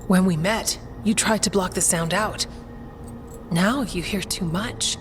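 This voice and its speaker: A woman's voice: mid-range voice, a little slow, close to an everyday voice. Woman playing a practical, level-headed soldier. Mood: serious. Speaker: video game voice actor. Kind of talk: dramatic video game cutscene acting. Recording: faint music behind the voice.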